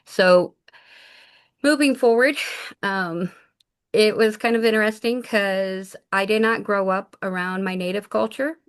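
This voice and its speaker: A woman's voice: mid-range voice, measured pace, clean tone. A woman raised in Japan, a shaman guest in an interview, telling her life story in an earnest, reflective way. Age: adult mother of four. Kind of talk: casual interview talk.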